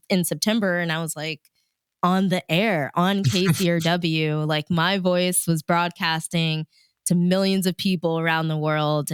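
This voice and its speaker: An American woman with a resonant, very clean voice that sits a little low. She talks casually and with animation, like a DJ telling stories in an interview. The sound is close and dry. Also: smooth, dry recording. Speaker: radio DJ and interview guest. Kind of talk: casual conversational storytelling.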